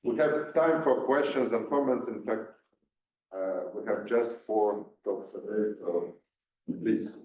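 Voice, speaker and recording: A man's voice: on the low side, fairly even intonation, slow pace, a little mellow. A man chairing a conference session, briefly thanking the speaker and inviting questions. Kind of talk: chairing a talk. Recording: very echoey, dull hall sound.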